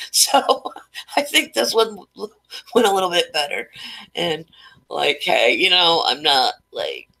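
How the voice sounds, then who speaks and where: A woman's voice: sits low, sharp tone. A woman hosting a casual live stream, chatty and rambling, stuffed up and losing her voice. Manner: rambling, chatty, reading chat names aloud.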